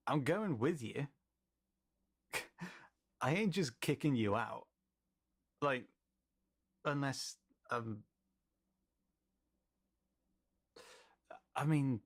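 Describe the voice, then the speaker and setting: A man acts out a male fantasy companion with an easygoing, supportive temper. He talks softly at a very unhurried pace, halting often, in a mid-range voice. The delivery is intimate roleplay acting, gentle and reassuring. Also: medium pitch. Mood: warm, reassuring. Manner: halting, soft-spoken, reassuring.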